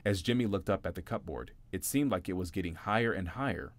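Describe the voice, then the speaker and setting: A man reading a bedtime story aloud in a gentle, expressive storytelling manner. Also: low-pitched, fast, dry recording. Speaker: narrator.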